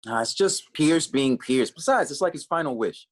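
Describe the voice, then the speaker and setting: Goofy, excitable young student. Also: male voice, fairly high pitch, normal speed. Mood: casual. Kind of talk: scripted comedy table read.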